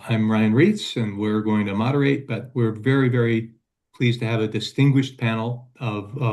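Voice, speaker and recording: A man moderating a panel, speaking off the cuff in a halting, courteous manner. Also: medium pitch, a little mellow. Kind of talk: unscripted panel introduction.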